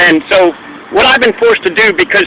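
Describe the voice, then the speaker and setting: A man's voice: high voice, animated, fairly quick. An American man arguing persistently in casual street talk, repeating his questions and phrases. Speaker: street interviewer and activist. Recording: noisy outdoor recording with traffic.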